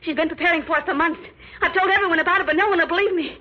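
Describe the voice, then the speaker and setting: A woman's voice: very high voice, racing. An actress playing a fearful, haunted woman thought insane, urgent and overwrought, in a 1940s radio thriller. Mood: hysterical. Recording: old dull radio recording.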